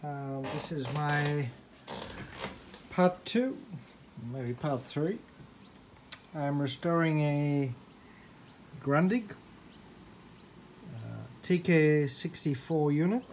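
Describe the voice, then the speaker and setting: A man's voice: a little high, extremely slow, long pauses, a little mellow. A man giving an informal, unscripted repair walkthrough, thinking aloud as he explains in a hesitant way. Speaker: hobbyist restorer presenting a repair. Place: indoors at a desk in a room. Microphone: handheld phone or camera, behind the lens. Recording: handheld phone video with background noise.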